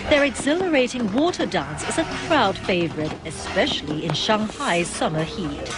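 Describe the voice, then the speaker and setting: Lively woman narrating a travel show. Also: mid-range voice, normal speed. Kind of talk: scripted narration. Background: loud music.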